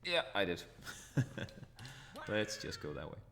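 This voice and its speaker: Low gravelly Danish man playing a retro game. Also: sing-song, slow, bright, forceful tone, a little hiss or hum. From Denmark. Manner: thinks aloud, chuckles at his own deaths. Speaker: retro gaming channel host. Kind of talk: live gameplay commentary.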